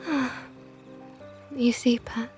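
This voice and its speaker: A woman's voice: fairly high pitch, fairly even intonation, soft background music. A woman playing a whispering vampire knight. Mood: tender teasing. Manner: slow, soft, often whispered, teasing. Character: possessive flirtatious female vampire knight, protective. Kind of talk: ASMR roleplay.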